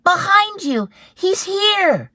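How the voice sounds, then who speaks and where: A woman's voice: extremely high pitch, very animated, takes it slowly, bright, forceful tone, dry recording, pitched above the performer's own voice, full character voice. A woman voicing a scared little boy in a picture book, whimpering and pleading in panic. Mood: panicked.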